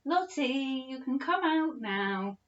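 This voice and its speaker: Elderly male professor acted by a woman, absent-minded, gentle, playful, children's storybook, slightly dull recording. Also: sits high, animated, takes it slowly, pitched above the performer's own voice. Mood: delighted, playful.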